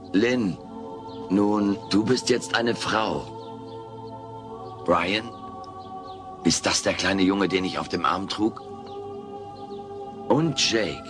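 A man playing a wisecracking, theatrical alien creature in a German comedy audio play, talking in a pompous, melodramatic way. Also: fairly low pitch. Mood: solemn. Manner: pompous, melodramatic, mock-philosophical.

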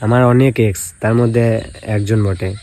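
A man's voice: lowish voice, fairly even intonation, brisk, warm tone, a little hiss or hum. A young man in casual, provocative phone banter, needling and dismissive, with asides to viewers. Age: young adult. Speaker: prank video creator. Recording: music behind the voice. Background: music.

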